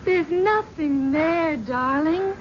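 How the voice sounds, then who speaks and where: A soothing, gentle wife, in a 1970s radio play. She speaks high and slow, a little away from the mic. The recording sounds dull and old. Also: slight background noise. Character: soothing wife of a frightened man. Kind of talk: 1970s radio drama acting. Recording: dull old radio broadcast, slightly far.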